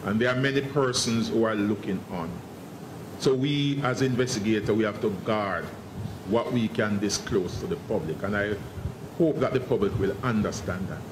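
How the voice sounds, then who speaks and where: A man speaking as a senior police investigator at a press briefing, guarded and formal, often halting and repeating words. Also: on the low side, echoey room. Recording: noisy online press briefing audio.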